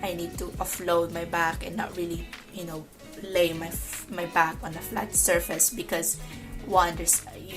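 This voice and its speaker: A young woman speaks slowly and haltingly from a couch at home, her somewhat low voice rough and hoarse from a lingering cough. Clear music plays behind her as she talks. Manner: slow, hesitant, many ums and restarts. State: recovering from illness, dry cough. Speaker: ICU nurse vlogger. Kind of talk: casual vlog monologue.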